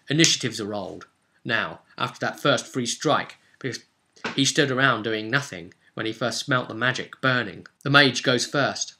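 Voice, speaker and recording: A man's voice: mid-range voice, bright, forceful tone. A man narrating a tabletop fantasy role-play session as game master, telling it dramatically and off the cuff in vivid, emphatic short phrases.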